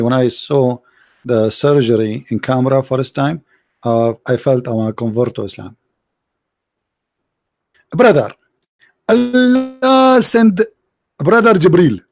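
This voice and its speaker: A man's voice: high voice, darkish tone, no room sound. Sarcastic man with an Arabic accent. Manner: sarcastic, mocking, broken English grammar. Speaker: livestream host. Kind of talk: mocking debate talk.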